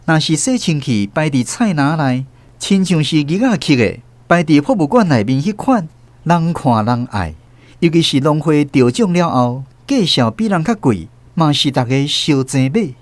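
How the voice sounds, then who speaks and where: 一位男主持人以台語口音朗讀小說旁白，語調生動。. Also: male voice, a little high, big pitch swings, normal speed, no echo. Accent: Taiwanese Hokkien. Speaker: host and narrator. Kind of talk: scripted storytelling narration.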